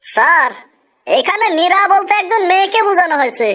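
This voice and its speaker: Cartoon candidate: extremely high, sharp bright voice, courteous, self-assured, comic. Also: female voice, big pitch swings, fairly quick, exaggerated voice. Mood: polite, assured. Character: polite, confident, quick-witted cartoon job candidate.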